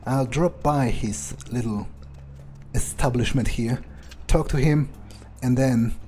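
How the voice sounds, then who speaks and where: A man doing in-character gameplay commentary, thinking aloud in a halting, profane, sardonic mutter with a husky voice. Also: mid-range voice, dark tone, slight background noise. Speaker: gamer role-playing his character.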